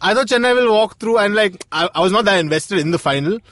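A man chats as a guest comedian, laid-back and poking fun at himself. He talks fast with a lively, fairly high voice. The recording is dry and close. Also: dry recording. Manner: laid-back, self-mocking. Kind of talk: casual chat.

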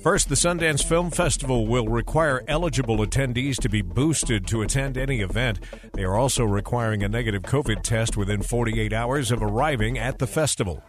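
A man's voice: mid-range voice, a little fast. An American man reading a scripted radio news bulletin as the anchor.

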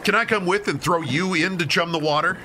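A man's voice: high-pitched, a little fast, close to an everyday voice. A man playing a grumpy, sarcastic amateur fisherman bickering in a comic radio play. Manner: sardonic, bickering. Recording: clear boat and water noise.

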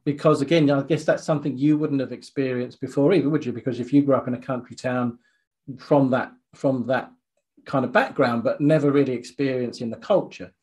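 Man, podcast host, mid pitch, halting, restarts questions. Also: steady tone, darkish tone. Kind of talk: interview questions.

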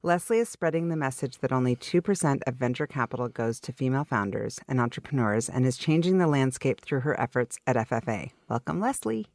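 A woman hosting a podcast interview, upbeat and enthusiastic, firing off quick questions. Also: extremely low pitch, lively intonation, a little mellow, no echo, clean studio sound. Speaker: podcast host.

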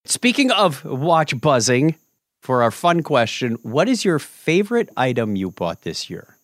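A man's voice: medium pitch, measured pace, no echo, clean studio sound. A man hosting a podcast in a casual, joking interview chat, given to wandering off on tangents. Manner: lively, jokey, tangent-prone. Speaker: podcast host.

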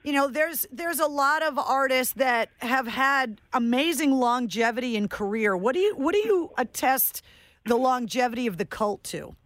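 A woman's voice: sits high, lively intonation, normal speed, a bit bright, no echo, studio quality. A woman radio host asking questions in a casual interview.

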